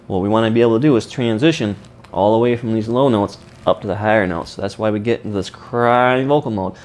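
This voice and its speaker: A man demonstrating and explaining a vocal warm-up, his voice a little rough from a recent sinus infection. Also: fairly low pitch, fast talker. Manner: explains while demonstrating sung vowels. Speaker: singing teacher.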